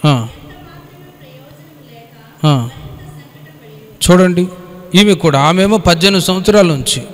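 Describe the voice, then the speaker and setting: Higher-voiced male preacher in a reverberant hall. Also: lively intonation, slow. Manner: colloquial, exhorting, with rhetorical questions; code-mixes English words into speech. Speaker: pastor. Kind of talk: Bible study sermon. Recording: echoey hall with long reverb.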